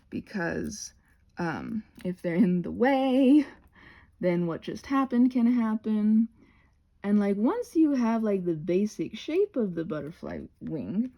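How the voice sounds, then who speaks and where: Creaky-voiced woman rambling through a craft tutorial. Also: mid-range voice, warm tone. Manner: scattered, trailing off mid-sentence, self-talking. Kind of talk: casual rambling hands-on tutorial chat.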